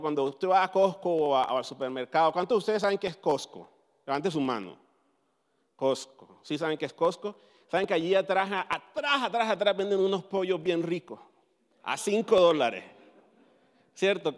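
A man whose first language is English, preaching a sermon in Spanish in an animated way and asking the audience questions. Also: on the high side, long reverb tail. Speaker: pastor.

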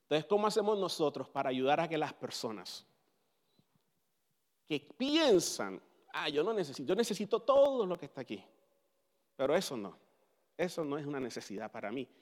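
A man whose first language is English, preaching a sermon in Spanish in an animated way and asking the audience questions. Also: fairly high pitch, normal speed, long pauses, long reverb tail. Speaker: pastor.

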